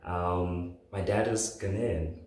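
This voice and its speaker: A man's voice: low-pitched, steady tone, takes it slowly, darkish tone, slight background noise. A man in his late twenties from southern Africa telling his story casually as a solo podcast host, in an informal, conversational way. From southern Africa, born in Zambia. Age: late 20s. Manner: informal, conversational, often says "like". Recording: very echoey room.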